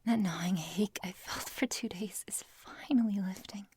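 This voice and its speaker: A woman playing an obsessive scientist who craves her serum, in a breathy, dramatic whispered ASMR roleplay with deliberate, formal wording. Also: mid-range voice, a little mellow, no echo. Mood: anxious craving. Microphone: close ASMR microphone.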